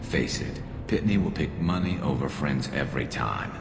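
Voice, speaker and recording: An actor voicing a gruff, blunt squadmate in a video game, with a gravelly voice. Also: male voice, very deep voice, expressive, moderate pace, noisy background, exaggerated voice. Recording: echoey hall, loud music behind.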